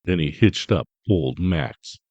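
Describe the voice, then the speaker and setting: A man narrating a rhyming children's story in a theatrical, measured way, relishing each rhyme. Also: a bass voice, expressive, darkish tone, slightly rough, dry recording, dull audio.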